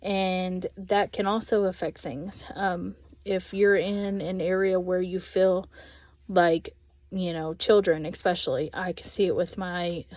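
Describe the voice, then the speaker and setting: A woman in her thirties with a Texas accent, an ESL aide giving a thoughtful spoken reflection with frequent pauses and ums. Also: on the low side, a little mellow, dry recording. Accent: Texan. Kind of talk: spoken reflection on a course video.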